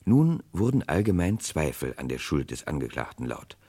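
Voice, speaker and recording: An actor narrating a 1960s radio crime play as a grave chronicler, with dramatic, deliberate delivery. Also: male voice, very deep voice, sing-song, mellow, full character voice. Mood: grave, suspenseful. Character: grave male chronicler of a historic murder case.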